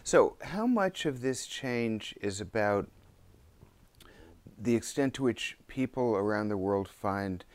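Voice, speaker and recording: A man's voice: on the low side, lively intonation, takes it slowly. A man interviewing in a conversational way, with a wry, teasing manner.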